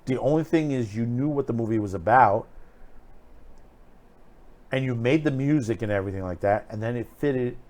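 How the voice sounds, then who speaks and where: A man's voice: mid-range voice, normal speed, long pauses, a little mellow. A man with a mid-range voice speaks off the cuff as the host of an opinion show. He rambles and halts, then drives points home by repeating phrases with emphasis. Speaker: host of an online film commentary show. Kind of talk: unscripted opinionated commentary.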